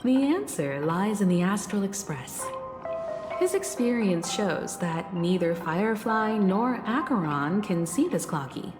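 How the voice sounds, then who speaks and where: A composed, perceptive mentor figure in a video game. She is poised and explanatory, with varied, lively intonation. Her voice rings with hall-like reverb over clear music. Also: medium pitch, unhurried. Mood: calm, explaining. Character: composed, perceptive adult woman, calm mentor.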